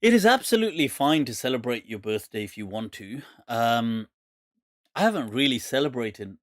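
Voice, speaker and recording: A man's voice: medium pitch, expressive, normal speed, dry recording, studio quality. A man in his forties hosting a live question and answer show, chatting casually and laughing often. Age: 40s. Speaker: host answering viewer questions.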